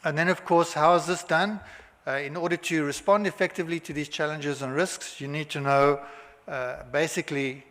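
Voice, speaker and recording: A man's voice: mid-range voice, fairly bright tone. South African man speaking in a reverberant hall. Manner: halting, many filler sounds and restarts. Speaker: conference speaker. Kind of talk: conference presentation. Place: hotel conference hall.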